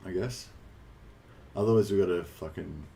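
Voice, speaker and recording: Low-voiced Australian man rambling on stream. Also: creaky, mellow, slight background noise. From New South Wales, Australia. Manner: rambling, halting, foul-mouthed. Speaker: game streamer. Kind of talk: casual live stream chat. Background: faint game music.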